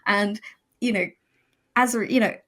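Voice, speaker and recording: A woman with a mid-range voice talks through ideas as a podcast guest. Her delivery is conversational, emphatic and animated, slipping into imagined quotes as she explains. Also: medium pitch, unhurried. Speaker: guest, audio drama writer.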